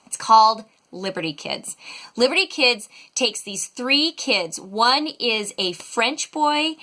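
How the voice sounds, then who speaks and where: A woman's voice: fairly high pitch, animated, slow pace, bright, forceful tone. A middle-aged American woman giving a casual, chatty video review with an enthusiastic, emphatic delivery. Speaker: homeschool mom reviewing educational resources.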